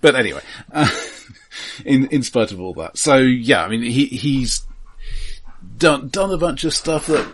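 A middle-aged man co-hosting a film podcast in a halting, analytical way, repeating words as he thinks through his explanations. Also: medium pitch, expressive, breathy edge. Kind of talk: casual film discussion.

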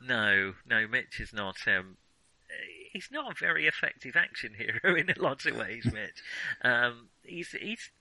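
A man's voice: mid-range voice, very animated, unhurried, sharp tone, no room sound, low-quality recording. A man in a casual film discussion as a podcast co-host, thinking aloud and often correcting himself as he goes.

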